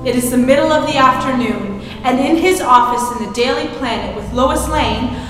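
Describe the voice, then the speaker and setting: Woman as dramatic radio serial narrator, high voice, music behind, big reverberant hall. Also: moderate pace, natural voice. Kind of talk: dramatic scripted narration, 1940s radio style. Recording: echoey hall.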